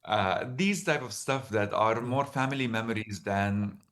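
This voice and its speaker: A man with a Lebanese accent telling personal stories as an interview guest, halting as he searches for words. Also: fairly low pitch, expressive, a bit bright. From Lebanon, born in the Emirates. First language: Arabic. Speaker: interview guest, author and storyteller. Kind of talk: reflective personal storytelling in conversation.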